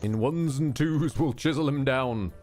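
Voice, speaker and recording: A man's voice: mid-range voice, unhurried, darkish tone, soft background music. A man giving casual live game commentary as a streamer, swearing, grumbling wryly and cutting himself off. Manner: sweary, self-interrupting, wry grumbling.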